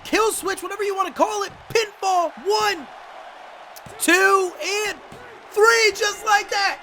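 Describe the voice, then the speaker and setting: A young man doing excitable play-by-play commentary over a wrestling video game, coughing and saying he is losing his voice. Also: very high-pitched, slow pace, a little hiss or hum. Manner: excitable, rising to shouts at big moments. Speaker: gaming channel host and commentator.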